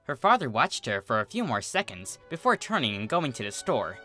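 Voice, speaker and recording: An expressive reader narrating a comedic story from a script, acting out the character's reactions over background music. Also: medium pitch, a little fast.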